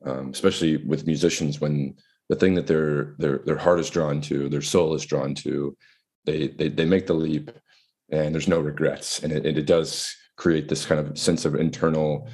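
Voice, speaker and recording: A male podcast host with a very deep, husky voice asks his guest questions. He trips over his words and repeats them as he thinks aloud. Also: little pitch movement, normal speed, a little mellow. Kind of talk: casual interview.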